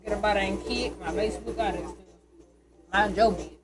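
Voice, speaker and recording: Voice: mid-range voice, a little fast, music in the background. A young adult, chatting casually and calling out directions during a video game. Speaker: companion watching the gameplay. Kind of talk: casual chat, backseat directions. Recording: noisy room, ambient sound behind.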